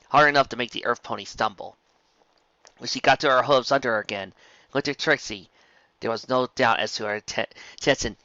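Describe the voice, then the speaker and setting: Male narrator, bright forceful tone, storybook live read, dry slightly dull recording. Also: medium pitch, no room sound. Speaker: narrator and reader of fan fiction.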